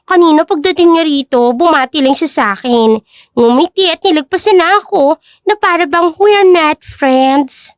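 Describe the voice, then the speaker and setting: Woman putting on a high, catty rich socialite. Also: normal speed, dry recording, clean studio sound, natural voice. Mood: gossipy. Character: wealthy, gossipy socialite woman friend, catty and dramatic. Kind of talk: audio drama acting in Tagalog-English.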